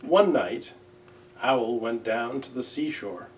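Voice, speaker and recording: A man reading a children's storybook aloud in a gentle, measured, expressive way. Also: medium pitch, recorded from a distance, slight background noise, low-quality recording. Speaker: library director reading aloud.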